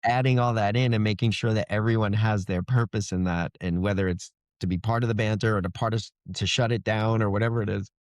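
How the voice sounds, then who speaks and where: Low-voiced man, casual author interview. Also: steady tone, quick pace, hardly any pauses, no echo, clean studio sound.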